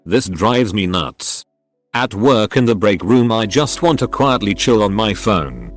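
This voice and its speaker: Low-voiced man reading over music. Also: expressive, measured pace, no echo. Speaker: narrator reading online posts. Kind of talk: reading forum posts aloud. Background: background music.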